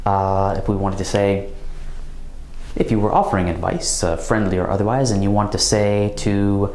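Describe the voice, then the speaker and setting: A man giving a recorded language grammar lesson, explaining slowly and carefully with example phrases. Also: on the low side, level tone, a little mellow, echoey room, poor audio. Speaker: language teacher.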